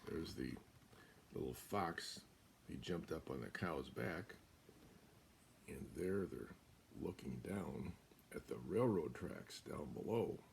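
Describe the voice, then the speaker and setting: An older American man reading a children's picture book aloud, deliberate and expressive, with pauses. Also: extremely low pitch, creaky, a little hiss or hum. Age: older, about 70s. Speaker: guest storyteller. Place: small room at home, indoors.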